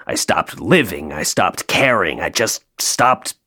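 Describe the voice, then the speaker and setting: An actor playing a jaded, sardonic male elf toymaker in an audio play, deadpan, dry and downbeat. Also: low-pitched, takes it slowly, breathy edge, exaggerated voice. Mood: bitter, wounded.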